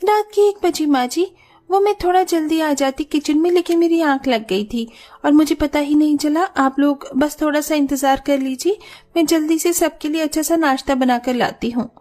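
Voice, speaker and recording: A woman's voice: high voice, normal speed, darkish tone, close to an everyday voice. A woman voicing a breezy, easygoing young daughter-in-law who casually makes excuses in a moral story. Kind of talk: animated moral story dialogue.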